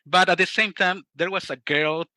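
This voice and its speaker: A man's voice: high-pitched, steady tone, moderate pace, pushed and bright, no echo. A middle-aged man from Mexico with a Mexican Spanish accent, telling his personal testimony in an interview in an earnest, reflective way and often restarting his sentences. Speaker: youth ministry director.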